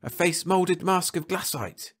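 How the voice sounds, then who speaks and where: A man's voice: high voice, moderate pace, husky, voice pushed up. A man doing a hot-headed young spaceman. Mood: bitter, indignant. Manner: sharp, indignant outbursts. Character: hot-tempered young male spaceship crewman. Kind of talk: audiobook character voice.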